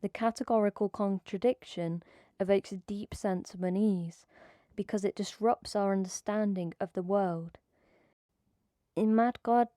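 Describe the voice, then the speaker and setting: Mellow-voiced woman reading an essay. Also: mid-range voice, no echo, clean studio sound. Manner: measured, even reading of a prepared script. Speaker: video essay narrator. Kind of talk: scripted academic narration.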